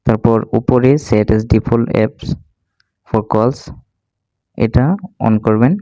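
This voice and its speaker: Male tutorial host, very even intonation, soft dark tone, instructional step-by-step delivery. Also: mid-range voice, very slow, no echo, rough recording. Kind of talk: step-by-step screen tutorial narration.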